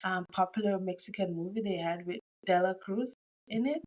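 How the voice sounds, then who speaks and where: A woman's voice: mid-range voice, normal speed, a little mellow. A woman hosting a podcast interview, chatty and effusive, often trailing off mid-sentence. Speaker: podcast host. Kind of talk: casual interview chat.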